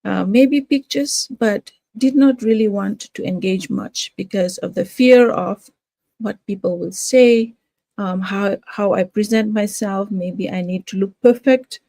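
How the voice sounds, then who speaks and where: Older Fiji-raised woman, casual promoter, halting delivery, warm and dry. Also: medium pitch, no room sound. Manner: hesitant, many fillers and restarts. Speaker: home business promoter. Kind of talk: casual talk to camera.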